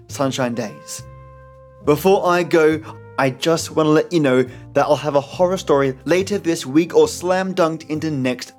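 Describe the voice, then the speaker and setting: A man hosting a podcast, reading a scripted introduction in a theatrical, playful manner. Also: mid-range voice. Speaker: podcast host. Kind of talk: scripted dramatic introduction and listener thanks. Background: music.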